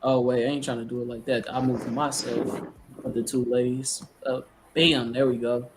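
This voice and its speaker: A man hosts a casual podcast over a video call. He talks in a loose, slangy way, checking in with his listeners as he goes. His pitch sits in the middle. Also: little pitch movement, normal speed, noisy background. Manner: laid-back slangy, lots of "you feel me". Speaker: podcast host.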